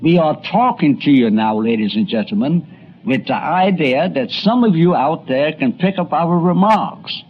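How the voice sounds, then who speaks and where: A man quoting a formal 1920s radio announcer with deliberate delivery. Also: fairly high pitch, animated, slightly rough, lo-fi sound. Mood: formal, courteous.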